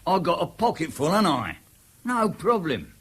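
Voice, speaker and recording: An actor playing a wily, ingratiating ex-convict of fifty-five in a radio drama, with a husky voice and a chummy, deferential manner. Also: male voice, on the high side, big pitch swings, normal speed, fairly bright tone, full character voice. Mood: sly, familiar. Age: 50s. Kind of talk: naturalistic radio drama acting.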